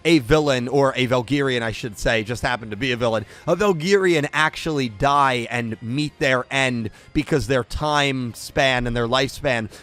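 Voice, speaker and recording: A man's voice: medium pitch, moderate pace, few pauses, fairly bright tone, soft background music. A young man with a head cold, hosting an anime episode review in an enthusiastic, rambling way. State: congested from a cold. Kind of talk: casual solo video review.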